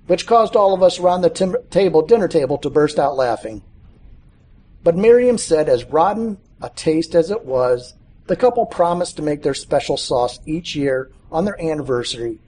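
Mellow man reading a story aloud. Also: a little high, slightly rough. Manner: measured, occasionally stumbling over words. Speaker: author reading own short story.

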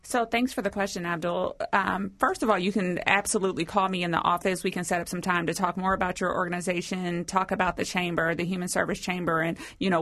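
A woman's voice: on the low side, creaky, a bit bright. A woman speaking as a guest on a radio interview, answering a caller in a friendly, upbeat way. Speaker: chamber of commerce director, studio guest. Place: radio studio.

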